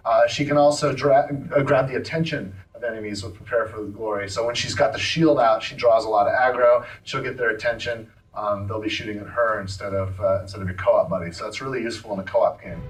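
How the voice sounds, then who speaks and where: A man's voice: on the low side, quick pace, hardly any pauses, far from the mic, low-quality recording. Casual man explaining a game demo. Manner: casual, hesitant, many fillers. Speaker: game developer. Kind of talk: live demo commentary.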